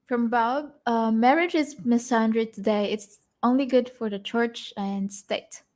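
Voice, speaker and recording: A woman with a somewhat high voice helps host a live chat. She reads viewer comments aloud in short bursts and slips in quick, playful one-liners. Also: a little mellow.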